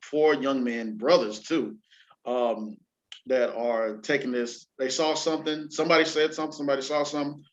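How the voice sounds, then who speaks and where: A man's voice: medium pitch, fairly even intonation, normal speed. A man hosting a casual entertainment chat show, talking in an informal, slangy, exclamatory way and at times reading items aloud. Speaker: talk show host. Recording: dull, lo-fi sound.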